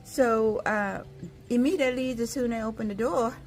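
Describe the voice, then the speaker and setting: Gentle Thai-accented woman with halting speech. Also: a little high, slight background noise. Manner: gentle, halting, with many fillers and restarts. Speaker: gardening vlogger. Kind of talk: unscripted walking tour vlog.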